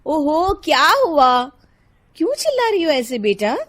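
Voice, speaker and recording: A woman's voice: high voice, sing-song, a little slow, pitched above the performer's own voice. A woman voicing a haughty, argumentative housewife and mother in a cartoon story. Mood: bewildered, protesting. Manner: scolding, argumentative.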